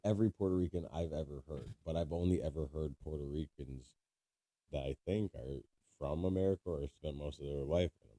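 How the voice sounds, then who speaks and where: A man's voice: a bass voice, warm tone. A man co-hosting a casual podcast, teasing his friend, laughing often and explaining things at length. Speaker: podcast co-host. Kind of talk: casual chat.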